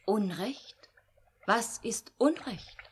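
A woman's voice: mid-range voice, animated, drawn-out words, slightly rough, exaggerated voice. An actress playing an emotionless artificial young woman in a German radio drama, asking literal questions and making detached statements. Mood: cold, detached.

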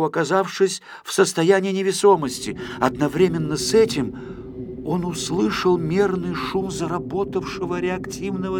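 A man narrating a children's story from a script as an expressive, dramatic storyteller. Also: fairly high pitch.

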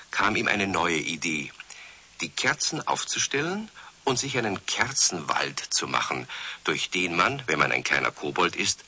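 Low, husky male storyteller. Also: sharp tone. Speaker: narrator. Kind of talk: scripted children's storytelling narration.

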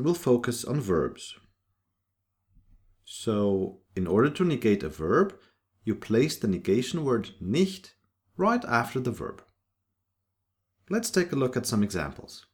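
A man's voice: medium pitch, a little mellow. A man teaching a language lesson from a script, reading short example sentences and their translations in an instructional way.

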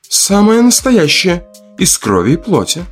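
A man voicing a bitter, menacing, jilted woman who taunts and reproaches slowly in a dramatic audio story. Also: high-pitched, wildly swooping pitch, soft background music, pitched above the performer's own voice, heavily acted. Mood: sardonic.

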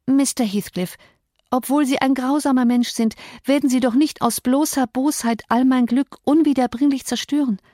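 A woman doing a pleading, desperate young woman, high-pitched. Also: fairly even intonation, moderate pace, warm tone, no room sound, clean studio sound, lightly acted. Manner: pleading, earnest. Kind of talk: audiobook character voice.

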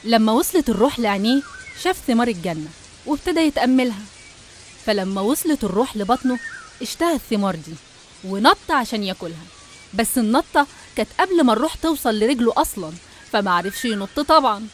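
A woman's voice: a little high, animated, fast talker, slight background noise. A woman with an Egyptian Arabic accent telling religious stories in a conversational, scripted style.

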